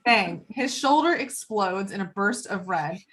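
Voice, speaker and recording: A woman's voice: mid-range voice, expressive, measured pace, a bit bright, a little hiss or hum. A woman reading screenplay action lines as the narrator of a table read.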